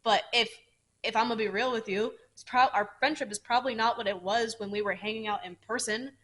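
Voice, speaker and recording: Blunt, bright-voiced woman who swears freely. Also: fairly high pitch, steady tone, a little fast. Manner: explains at length with asides. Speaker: podcast co-host. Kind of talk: casual chat.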